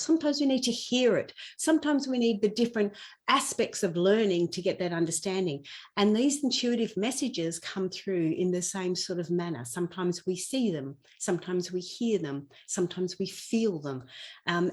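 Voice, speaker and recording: A woman's voice: mid-range voice, a little mellow. A middle-aged woman speaking as a podcast guest in an earnest, explanatory way, repeating phrases for emphasis as she shares in conversation. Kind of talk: conversational personal storytelling and explanation.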